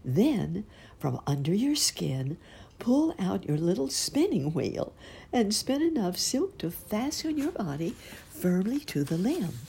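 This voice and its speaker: A woman voicing a gentle, motherly monarch butterfly in a storybook reading. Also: mid-range voice, sing-song, takes it slowly, vocal fry, slight background noise, pitched above the performer's own voice, heavily acted. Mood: instructing.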